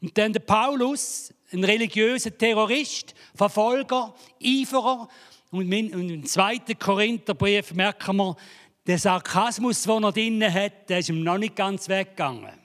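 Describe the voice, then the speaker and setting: A man's voice: high-pitched, moderate pace, fairly bright tone. A man preaching a sermon in a church hall, joking and building to dramatic emphasis. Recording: reverberant hall.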